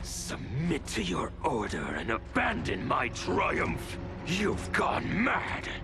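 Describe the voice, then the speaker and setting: A gravelly, theatrical villain who revels in chaos. He swings wildly up and down in pitch and drags out every line slowly. Loud orchestral music swells behind him. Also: wildly swooping pitch, full character voice. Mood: taunting. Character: gleeful chaos-worshipping male villain. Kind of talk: video game cutscene acting. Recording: game cutscene over loud score.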